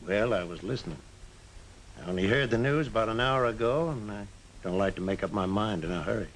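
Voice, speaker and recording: An actor playing a sober, principled small-town man in a 1950s film drama, speaking earnestly and deliberately on an old soundtrack. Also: fairly low pitch, expressive, slight background noise, natural voice, rough recording. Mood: grave, earnest.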